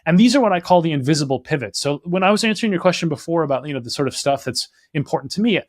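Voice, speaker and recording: A young man from Minnesota talks through his ideas at a brisk clip, dropping "like" and "right?" as he goes. The sound is close and dry, with no room around it. He comes across as an author being interviewed. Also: a little high, dry recording. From Minnesota, United States. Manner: fast, animated, full of "like" and "right?". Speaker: author and guest. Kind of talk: conversational interview answers.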